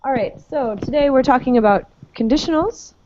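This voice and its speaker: A woman runs a live English class over a video call, explaining grammar step by step in a patient voice with plenty of "um"s. Her voice sits a little high but sounds mellow and soft. The call audio is somewhat muffled. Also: fairly quick, a little hiss or hum. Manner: patient, many fillers. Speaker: online English teacher. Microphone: computer mic on a video call.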